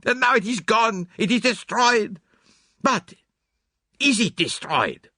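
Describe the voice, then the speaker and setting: A man voicing an excitable, theatrical foreign detective, emphatic and dramatic, in an audiobook. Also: medium pitch, normal speed, slightly rough, no echo, studio quality, voice pushed up. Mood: furious, despairing. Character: excitable, theatrical foreign male detective, fastidious and self-reproaching.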